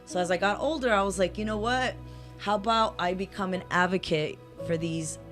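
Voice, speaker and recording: A woman's voice: medium pitch, moderate pace, a bit bright, faint music behind. A woman lawyer from Los Angeles whose first language is Spanish, answering interview questions in a chatty way, with many fillers and self-corrections. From Los Angeles, California. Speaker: personal injury attorney, guest.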